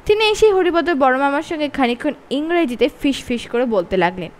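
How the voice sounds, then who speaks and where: Lively, high-voiced Bengali woman storyteller. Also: fairly quick. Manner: expressive, shifts pitch for each character. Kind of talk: reading a children's story aloud.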